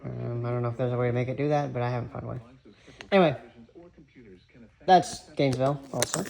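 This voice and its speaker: A man giving an informal, halting show-and-tell narration as a radio hobbyist. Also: mid-range voice, a little mellow. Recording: clearly noisy with clicks. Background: weather radio playing.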